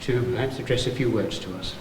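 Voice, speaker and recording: A man's voice: mid-range voice, quick pace. A man hosting a church concert, thanking and introducing people informally to an audience. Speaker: concert host. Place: inside a church, before an audience. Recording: distant, very noisy, echoey church recording.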